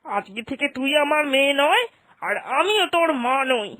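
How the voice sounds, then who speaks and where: A woman playing an exasperated, sharp-tongued older mother and mother-in-law in a cartoon moral story, scolding with reproachful questions. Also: high voice, very animated, moderate pace. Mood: angry, hurt.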